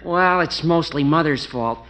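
A young actor playing a mild-mannered, earnest fiancé in a 1940s radio comedy. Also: male voice, a little high, unhurried, a bit bright. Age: young adult. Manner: earnest, soothing.

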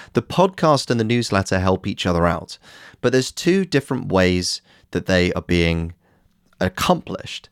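Casting: male podcast host, lower register, lively melodic swings, instructive and direct, scripted solo delivery. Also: no room sound, studio quality. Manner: addresses listeners directly, explanatory, rhetorical questions.

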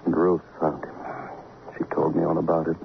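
An actor playing an arrogant, possessive, wealthy husband in an old radio crime drama, sardonic and boastful in clipped sentences. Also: male voice, rumbling low, completely flat delivery, brisk, creaky, heavily acted. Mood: mocking, cold. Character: arrogant, rich, possessive husband, cold and mocking. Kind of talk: mid-century radio crime drama acting. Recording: noisy, muffled old radio recording.

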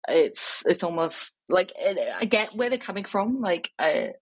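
Woman, young adult, low-pitched, husky, hesitant and reflective, dry close sound. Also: expressive, unhurried, dry recording. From Wales. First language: Cantonese. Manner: hesitant, many fillers and restarts. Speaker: interview guest. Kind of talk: reflective personal interview.

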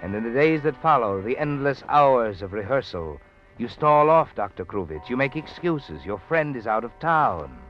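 An American actor playing a sardonic all-knowing storyteller, narrating a 1940s radio thriller in a pointed, suspenseful second person. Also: male voice, medium pitch, sing-song, normal speed, natural voice. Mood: knowing, ominous. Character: omniscient, sardonic male storyteller needling the protagonist. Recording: dull vintage radio with music bed. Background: dramatic music.

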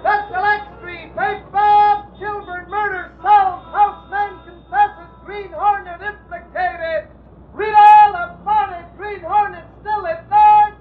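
A performer playing a young newsboy hawking papers in a drawn-out sing-song cry, in a 1940s-style radio drama. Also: very high voice, flat delivery. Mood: urgent hawking. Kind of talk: 1940s radio acting, street hawker's cry. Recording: tinny old radio with music.

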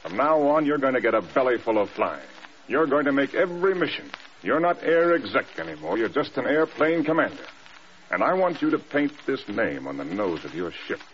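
An actor playing a stern, hard-driving air force general, clipped and scathing, in a radio drama. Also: male voice, medium pitch, very animated, brisk, lightly acted. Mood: cold, scathing. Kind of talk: mid-century radio drama acting. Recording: dull, noisy old radio recording.

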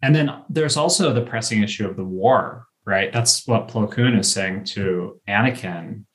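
Lower-pitched man in podcast chat. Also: normal speed. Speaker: podcast panelist. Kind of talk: casual chat about a TV series.

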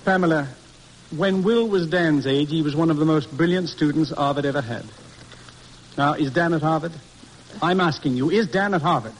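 A man's voice: fairly high pitch, normal speed, close to an everyday voice. An actor playing a stern, articulate middle-aged American judge and father, formal and dryly sarcastic, in an old-time radio drama. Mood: exasperated. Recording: noisy vintage radio recording.

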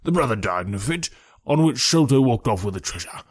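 A stout, blustering police detective from a storybook. His voice is muffled, husky and wheezy, pitched a little above the reader's own, in a dry, close recording. He is pompous and hearty, repeating his phrases with great self-satisfaction. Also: lively intonation, fairly quick, no room sound. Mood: smug reasoning.

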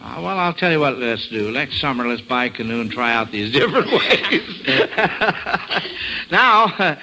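A man's voice: medium pitch, sing-song, measured pace. An American man hosting a mid-century radio quiz show and reading sponsor messages in a folksy, chatty way with hesitant asides. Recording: noisy vintage radio recording.

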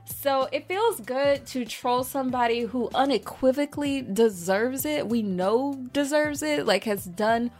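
A woman's voice: high-pitched, unhurried, hardly any pauses, a little mellow, faint music behind. A woman chatting casually on a podcast in a blunt, sweary, sardonic way.

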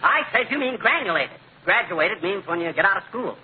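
An actor playing a blustery, exasperated husband with an American accent, folksy and quick to protest, in 1940s radio comedy style. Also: male voice, sits high, expressive, a little hiss or hum. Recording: tinny old radio recording.

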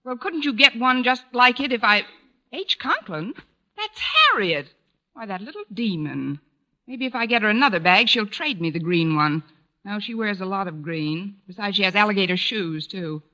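A woman playing a wry, quick-witted schoolteacher in a classic radio sitcom, delivering dry wisecracks. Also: medium pitch, animated, bright, forceful tone, muffled recording. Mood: wry, insistent. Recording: noisy vintage radio recording.

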